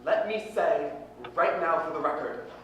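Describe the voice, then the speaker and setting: A man performing spoken-word poetry with impassioned, deliberate, earnest phrasing. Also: on the high side, fairly bright tone. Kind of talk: slam poetry recitation, dramatic and earnest. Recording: very echoey room, distant mic, faint noise.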